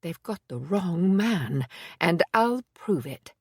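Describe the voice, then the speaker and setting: A woman voicing a determined woman private investigator, speaking in a measured, formal, resolute way. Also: fairly low pitch, very animated, husky, no echo, clean studio sound, full character voice. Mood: composed.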